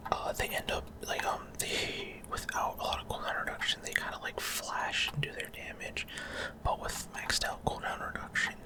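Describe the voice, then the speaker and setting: Guy whispering thru a video game for asmr, breathy, kinda rambly and hesitant. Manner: hesitant, rambling explanation in short phrases. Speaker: gaming video creator. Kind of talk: whispered ASMR gameplay commentary.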